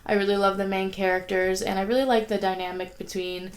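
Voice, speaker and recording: A woman's voice: mid-range voice, flat delivery, brisk. A young woman vlogging casually about her writing and reading, rambling with lots of "like", sniffly with a sore throat. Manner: chatty, rambling, frequent "like" fillers. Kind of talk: casual vlog chat. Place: at home, indoors.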